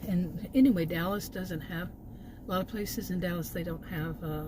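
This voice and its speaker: A woman's voice: lowish voice, moderate pace, background noise. An American woman vlogging in a casual, rambling rant with an exasperated manner. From Texas, United States. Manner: exasperated, chuckles between remarks. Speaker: food delivery gig worker, vlogger.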